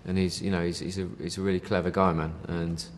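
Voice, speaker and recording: A man's voice: deep voice, little pitch movement, fast talker, big-room sound, slight background noise. A man chatting casually in an interview, rambling with lots of fillers and the odd "mate". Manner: rambling, many fillers, says "you know" and "mate". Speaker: band member interviewed.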